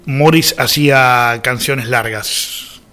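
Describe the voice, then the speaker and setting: A man's voice: medium pitch, slow, pushed and bright. Argentine man commenting over music. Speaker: radio host. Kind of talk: radio commentary.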